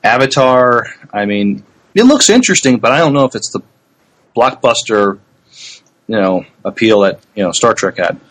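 A man co-hosting a podcast, talking casually in a hesitant, halting way with repeated words. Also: medium pitch, big pitch swings, a bit bright, rough recording. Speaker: podcast co-host. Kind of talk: casual sci-fi news chat.

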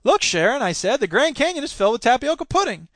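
A man's voice: very high-pitched, big pitch swings, fast talker, fairly bright tone, no echo, pitched above the performer's own voice, heavily acted. A man playing an amused husband in a comic short story, making playful declarations. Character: amused deadpan husband delighting in an absurd sentence.

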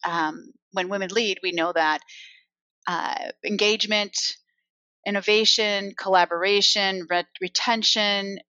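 An American woman, a guest author and coach, answering interview questions in a reflective, unhurried way with many fillers. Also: medium pitch, steady tone, bright, forceful tone, no echo. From United States.

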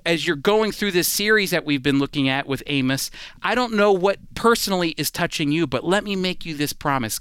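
Higher-voiced male preacher, crisp and emphatic. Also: a bit bright, dry recording, clean studio sound. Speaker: preacher and Bible teacher. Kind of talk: sermon, expository Bible teaching.

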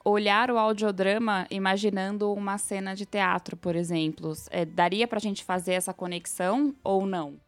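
A woman's voice: mid-range voice, fairly even intonation, moderate pace, few pauses, faint music behind. A woman with a Brazilian accent co-hosting a podcast in casual, conversational talk. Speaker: podcast co-host. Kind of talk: casual chat.

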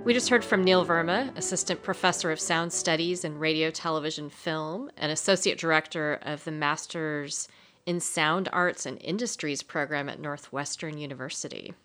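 A woman's voice: low voice, normal speed, a bit bright, faint music behind. A woman co-hosting a radio show in a conversational discussion style.

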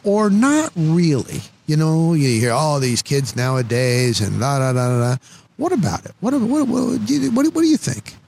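A man's voice: on the high side, sing-song, moderate pace, gravelly, a little mellow, a little hiss or hum. A man hosting a casual interview, eagerly repeating his words and affirmations as he goes. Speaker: interviewer, host.

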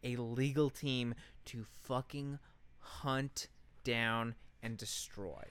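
A man's voice: mid-range voice, steady tone, takes it slowly, a bit bright, clear, resonant tone, no echo. A man co-hosting a comedy podcast, telling a researched story casually, with emphatic, profane delivery. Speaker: podcast co-host.

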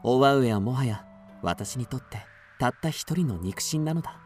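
A man playing an earnest, gentle but resolute young samurai in a period radio drama, speaking formally in courteous archaic phrases. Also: mid-range voice, lively intonation, moderate pace, faint music behind, close to an everyday voice. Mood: resolute, tender.

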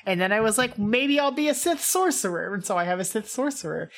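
A woman's voice: mid-range voice, expressive. A young woman co-hosting a podcast, chatting eagerly, often restarting her sentences as she talks. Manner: chatty and enthusiastic, quick restarts. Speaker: podcast co-host. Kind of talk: casual chat.

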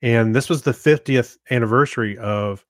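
A middle-aged man co-hosting a podcast, chatting casually in a low-key, hesitant, meandering way. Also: moderate pace, dry recording, clean studio sound. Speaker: podcast co-host. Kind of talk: casual chat, giving a review.

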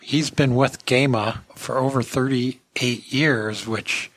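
A man's voice: mid-range voice, fairly even intonation, takes it slowly, a bit bright, slight background noise. A man hosting an industry podcast in a casual talking style. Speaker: podcast host.

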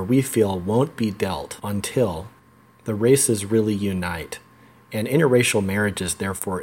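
A man hosting an interview, reading an earnest, measured introduction. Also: fairly low pitch, a little mellow, breathy edge. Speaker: podcast host and interviewer. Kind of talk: scripted introduction then casual interview.

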